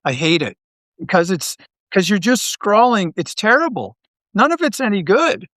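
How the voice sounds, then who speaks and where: A man from Canada chatting casually as an interview guest, an animated storyteller who rambles and repeats his phrases. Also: sits high, normal speed, no room sound, clean studio sound. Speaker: interview guest, audio drama writer.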